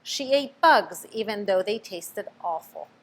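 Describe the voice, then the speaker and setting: A woman reading a children's picture book aloud, expressive, stopping to ask the listener questions. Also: mid-range voice, unhurried. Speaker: storybook reader.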